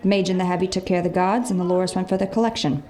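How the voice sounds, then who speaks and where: A woman's voice: lowish voice, steady tone, rapid-fire, dark tone, close to an everyday voice. A woman playing a candid leader of a thieving crew in an audio drama, clipped and matter-of-fact.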